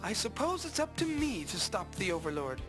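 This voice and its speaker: A woman's voice: mid-range voice, very animated, moderate pace, music in the background, close to an everyday voice. A woman voicing an earnest, brave young apprentice hero in a video game, polite and resolute. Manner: earnest, formal. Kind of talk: video game cutscene acting.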